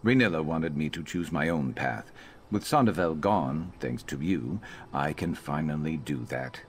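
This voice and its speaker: A stoic reptilian warrior from a video game, with an extremely deep voice. He is loyal, measured and earnest, over a faint layer of background noise. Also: animated. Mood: earnest, reflective.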